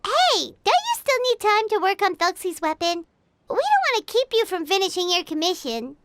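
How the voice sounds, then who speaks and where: Excitable little female fairy companion, very high, lively intonation, squeaky, inquisitive, video game. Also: moderate pace, slight background noise, exaggerated voice. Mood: surprised, curious. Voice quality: squeaky, childlike. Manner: exclamatory, questioning, refers to self in third person. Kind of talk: video game character dialogue.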